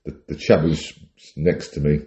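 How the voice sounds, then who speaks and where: Very deep-voiced British man, wry storyteller. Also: flat delivery, moderate pace, warm tone, muffled recording. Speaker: podcast co-host. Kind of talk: casual chat, telling anecdotes.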